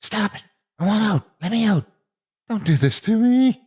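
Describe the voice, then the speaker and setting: Man plays frightened boy in audio story, begging desperately, raised husky voice. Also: mid-range voice, very animated, brisk, a little mellow, pitched above the performer's own voice. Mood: screaming panic. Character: terrified boy pleading and screaming to be released.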